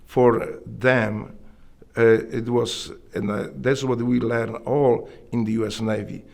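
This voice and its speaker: A middle-aged man with a Polish accent, earnestly telling his story in an interview, often halting and restarting his sentences. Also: medium pitch, flat delivery, creaky. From Poland. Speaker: interviewee, Navy SEAL veteran.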